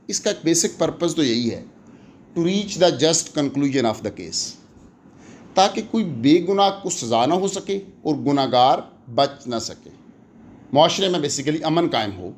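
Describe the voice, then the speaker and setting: A man's voice: on the high side, slight background noise. A man with a Pakistani accent giving an explanatory lecture in a didactic way, switching between two languages and posing questions that he then answers. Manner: didactic, mixes Urdu and English, poses questions then answers them. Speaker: law lecturer. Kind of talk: explanatory exam-prep lecture.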